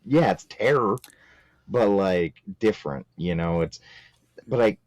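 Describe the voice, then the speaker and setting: A man's voice: low voice, expressive, a little slow, no room sound. A man co-hosting a casual film review podcast, blunt and joking darkly. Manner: blunt, swears, dark jokes. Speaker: podcast co-host.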